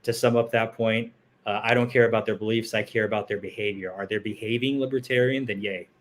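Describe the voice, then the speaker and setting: A man's voice: fairly low pitch, steady tone, fast, a little hiss or hum. Man, co-host, casual online chat, blunt, opinionated, joking tone. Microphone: home setup over internet call.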